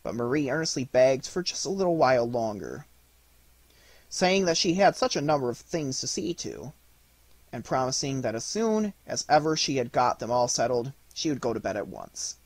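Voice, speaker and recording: A man's voice: medium pitch, big pitch swings, moderate pace, no room sound, poor audio. A man reading an audiobook, giving scripted storybook narration. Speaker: audiobook reader.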